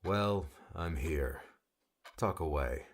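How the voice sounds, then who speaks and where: An actor playing a curt, aloof guardian, a weary loner who speaks tersely and coldly in an audiobook drama. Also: male voice, deep voice, slow. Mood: curt, detached. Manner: terse, dismissive, cold.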